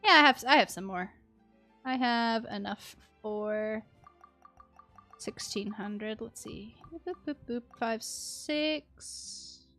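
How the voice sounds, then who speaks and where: Woman streaming a game, bright voice, kinda slow, playful little mumbly noises, some music behind. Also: on the high side. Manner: playful, mutters little sound words to self. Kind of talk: casual livestream gameplay chat.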